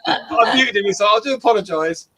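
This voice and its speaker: A man's voice: sits high, expressive, a little fast, bright, forceful tone. A man co-hosting a live stream, jokey and apologetic, laughing as he talks. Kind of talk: casual hosting banter.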